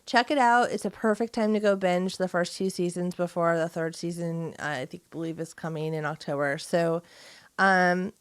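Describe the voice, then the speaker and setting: A woman's voice: fairly low pitch, fairly even intonation, normal speed, smooth, no room sound. A woman co-hosting a podcast in casual, chatty conversation, sometimes reading aloud. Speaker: podcast co-host. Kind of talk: casual chat, reading listener mail aloud.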